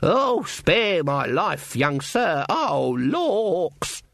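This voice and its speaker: A man's voice: high voice, very animated, extremely slow, creaky, a bit bright, dry recording, clean studio sound, pitched above the performer's own voice, full character voice. A male narrator voicing a crabbed, stubborn old country witch with blunt rustic speech in an audiobook. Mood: mock pleading. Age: older.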